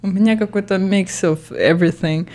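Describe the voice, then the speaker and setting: A young woman co-hosting a casual podcast, animated and laughing as she tells stories. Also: mid-range voice, fairly quick. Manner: chatty, laughs often, animated storytelling. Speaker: podcast co-host.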